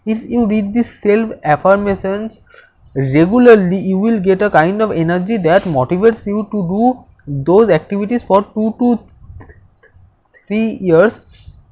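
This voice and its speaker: A speaker, reading self-affirmations aloud slowly and deliberately, stammering with repeated words and restarts. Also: mid-range voice, mellow, lo-fi sound. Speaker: speech practice coach.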